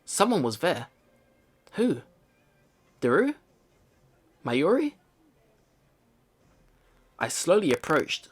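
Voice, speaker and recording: A man's voice: on the high side, very animated, drawn-out words, pauses often, husky. A man hosting a let's play, reading game narration aloud and reacting casually. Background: game music.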